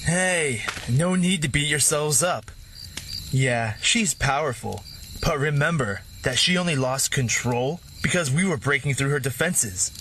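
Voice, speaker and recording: A man's voice: a little high, animated, a little slow, sharp tone, a little hiss or hum. A man playing a laid-back wandering ex-warrior who teases his companions and narrates his own story. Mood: encouraging. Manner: easygoing, teasing; reflective in narration. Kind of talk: first-person narration and dialogue.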